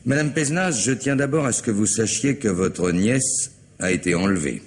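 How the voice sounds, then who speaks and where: A man's voice: fairly low pitch, expressive, moderate pace. A man acting a police commissioner in a French radio crime drama, politely probing and authoritative. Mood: stern, pressing. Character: male police commissioner, courteous but insistent interrogator.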